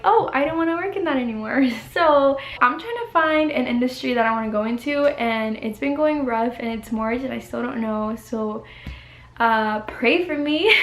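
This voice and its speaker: A woman's voice: fairly high pitch, fairly bright tone. Chatty young woman, roomy bedroom. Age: 20s. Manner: chatty, giggly, uses "like" and "y'all". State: getting over the flu. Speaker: vlogger, college student. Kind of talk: casual chat to camera. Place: corner of her bedroom.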